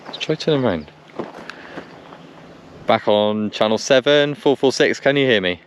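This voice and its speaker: A man's voice: medium pitch, lively intonation, normal speed. A man giving casual running commentary and radio calls, repeating himself as he thinks aloud. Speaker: vlogger testing two-way radios. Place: outdoors on a country lane. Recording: noisy outdoor recording with vehicle sounds.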